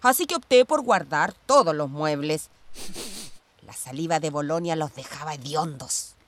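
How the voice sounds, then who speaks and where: An actress playing a stern, evasive former police commander in a radio drama, curt and sardonic, with a Chilean accent. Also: female voice, low-pitched, expressive, moderate pace, slight background noise.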